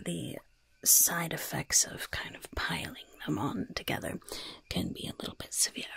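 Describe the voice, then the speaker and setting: A woman voicing a mischievous goblin shopkeeper in a breathy whisper, with coy, unhurried sales patter in an ASMR roleplay. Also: medium pitch, no echo, full character voice. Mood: coy, persuasive. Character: mischievous female goblin shopkeeper selling poisons and curses.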